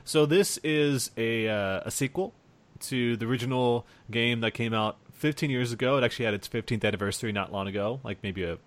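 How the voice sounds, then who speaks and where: A man's voice: mid-range voice, a little fast. A man hosting a casual chat podcast, explaining things in a hesitant, meandering way. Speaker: podcast host discussing video games.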